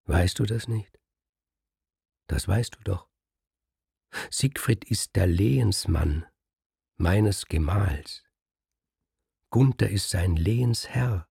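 A man voicing Brünnhild, a cool, scheming queen who speaks in a low, hushed, insinuating murmur. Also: animated, takes it slowly, vocal fry, long pauses, husky, dry recording, studio quality, heavily acted.

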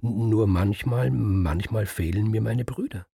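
A man voicing Kriemhild, a proud, indignant queen who protests quickly and insistently. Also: gravelly, dark tone, slightly rough, no room sound, studio quality, exaggerated voice. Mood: defensive. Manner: rising shrill protest, fast and insistent.

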